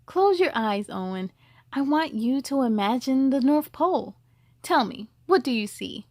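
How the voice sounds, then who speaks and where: A woman voicing a gentle, patient mother in a children's bedtime storybook. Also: high-pitched, expressive, unhurried, no room sound, studio quality, heavily acted. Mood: soothing. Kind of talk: children's storybook read-aloud.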